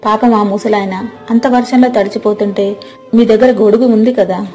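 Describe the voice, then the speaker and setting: A woman's voice: mid-range voice, steady tone, moderate pace, warm tone, soft background music, natural voice. A woman voicing a kind-hearted village wife who questions and reproaches her husband in a moral story. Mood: reproachful.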